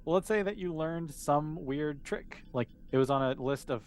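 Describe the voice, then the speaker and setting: A man's voice: on the high side, moderate pace, a little hiss or hum. A man casually narrating a tabletop game as game master, explaining in an easygoing, conversational way.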